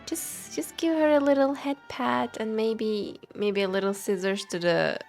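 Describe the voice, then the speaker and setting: A woman streamer chats casually while commenting on a game. She is not a native English speaker and talks at a slow pace, playful and expressive, with soft music in the background. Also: on the high side, vocal fry. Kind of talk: casual live game commentary, chatting with viewers.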